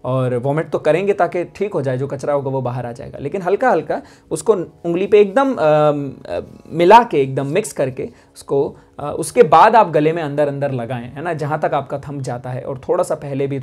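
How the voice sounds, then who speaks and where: A man's voice: fairly high pitch, animated, normal speed, hardly any pauses, a little mellow. Young man teaching vocal care. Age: young adult. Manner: explanatory, keeps asking "है ना?". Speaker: music teacher. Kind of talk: vocal care lesson to camera. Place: indoor studio with instruments.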